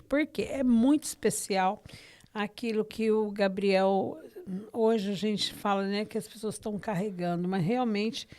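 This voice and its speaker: A woman's voice: mid-range voice, darkish tone. A woman with a Brazilian accent hosting a podcast from her living room, talking conversationally with devout enthusiasm and frequent tag questions. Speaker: podcast host. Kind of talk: conversational podcast introduction of a guest.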